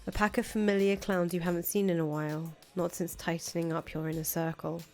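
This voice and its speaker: A woman's voice: fairly low pitch, flat delivery, brisk, a little mellow, faint music behind. A woman game streamer reading visual novel narration aloud during a playthrough.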